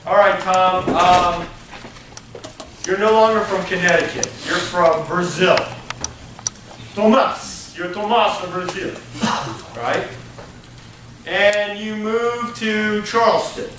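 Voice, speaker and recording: A man's voice: high voice, slow, pauses often, noisy background. A man teaching a college hospitality class, animatedly acting out business deals with his students. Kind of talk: interactive classroom lecture. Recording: echoey classroom from far off.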